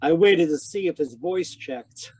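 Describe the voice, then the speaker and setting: A man's voice: fairly high pitch, unhurried, darkish tone, no echo. A man playing a sardonic, wisecracking private detective, narrating an old-time radio mystery in a wry scripted style.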